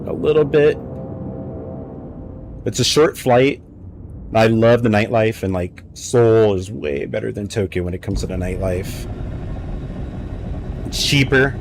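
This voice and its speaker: Lowish-voiced man chatting while gaming. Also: a little slow, gravelly, long pauses, slight background noise. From United States. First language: English. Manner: rambling, anecdotal, animated storytelling. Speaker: streamer. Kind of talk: casual live-stream chat while gaming.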